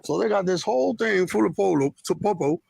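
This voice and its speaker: A man with a deep, gravelly voice, recording himself on a phone while he angrily insists on taking his daughter home. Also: lively intonation, fairly quick, darkish tone, no room sound. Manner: heated, insistent, repetitive. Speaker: father recording a confrontation. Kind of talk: agitated self-recorded rant.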